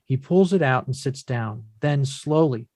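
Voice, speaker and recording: Measured male narrator, dry close sound. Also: mid-range voice, a little mellow, no echo. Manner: measured, descriptive. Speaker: reader of stage directions. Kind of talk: scripted narration of a play.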